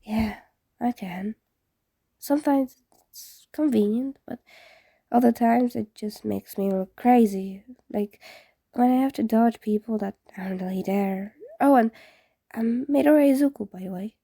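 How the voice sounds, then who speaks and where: A woman's voice: on the high side, unhurried, gravelly, dark tone, breathy edge, no room sound, studio quality, heavily acted. A woman voicing an awkward, puzzled, apologetic teenage boy in a story, hesitant and rambling in a casual way. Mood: hesitant, friendly.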